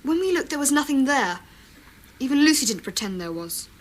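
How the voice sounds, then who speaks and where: Lady doing english kid, eldest of siblings, high voice swinging up and down, argues logically, bossy, radio play. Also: sing-song, a little fast. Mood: puzzled, arguing. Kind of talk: radio drama acting.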